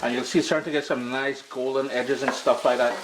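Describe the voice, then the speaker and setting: A man's voice: mid-range voice, level tone, fast, heavy background noise. Upbeat stuffy-nosed Caribbean man cooking. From Caribbean island. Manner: upbeat, chatty, slangy. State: stuffy nose from allergies. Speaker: cooking show host. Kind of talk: casual cooking demonstration. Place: home kitchen.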